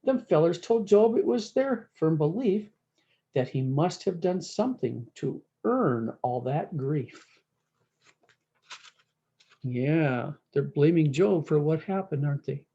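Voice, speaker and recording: A woman's voice: deep voice, expressive, slow, warm tone, dull audio. A woman storyteller reading a rhyming cowboy-dialect storybook aloud in a folksy way, drawing out words for emphasis. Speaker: storyteller reading a picture book.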